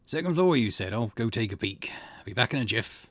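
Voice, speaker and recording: A man voicing a gruff but caring school janitor in a visual novel game, with a folksy, paternal, grumbling manner. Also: mid-range voice, expressive, brisk, voice pushed down, natural voice.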